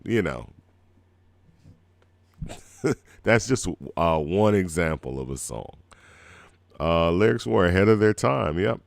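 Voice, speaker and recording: Gravelly deep-voiced man with a cold. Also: animated, takes it slowly, pauses often, no echo. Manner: rambling, laid-back, chuckles often. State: scratchy throat from a cold. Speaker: radio show host. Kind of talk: casual live show chat.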